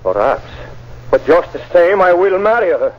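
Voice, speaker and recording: An actor playing a proud, lovesick young farm teamster in old-time radio style, speaking earnestly and formally. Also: male voice, high voice, very animated, normal speed. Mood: defiant. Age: young adult. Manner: earnest, formal speech without contractions. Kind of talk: 1940s radio acting. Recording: dull old radio drama recording.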